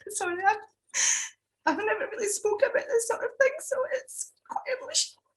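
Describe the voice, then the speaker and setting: A woman's voice: very high voice, lively intonation, fairly quick. A woman in her thirties, originally from Italy, sharing her personal story on a video call with many hesitations and restarts. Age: 30s. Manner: hesitant, frequent fillers and restarts. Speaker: summit speaker. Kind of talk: personal testimony talk.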